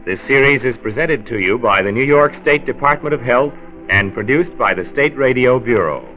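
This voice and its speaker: A man's voice: mid-range voice, lively intonation, moderate pace, soft background music, close to an everyday voice. An American man playing a genial, folksy county health commissioner in 1940s radio drama style. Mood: measured, earnest. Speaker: narrator and lead actor of a health radio serial. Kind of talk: 1940s radio acting and narration. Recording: dull vintage 1940s radio transcription.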